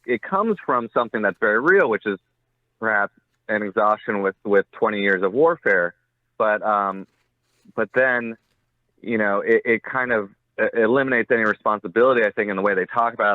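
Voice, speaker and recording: Phone-quality audio, man, lowish pitch, analytical interview guest, tentative, restarts often. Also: dry recording. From United States. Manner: tentative, hedging, thinking aloud with repeated starts. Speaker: interview guest, journalist and author. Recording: muffled, thin phone-like line.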